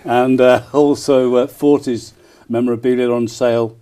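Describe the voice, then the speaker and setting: An enthusiastic man promoting an event as a broadcast interview guest, often pausing on small hesitations. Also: mid-range voice, flat delivery, dark tone, clear, resonant tone. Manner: enthusiastic, frequent hesitant ers. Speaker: town crier and festival promoter, interview guest.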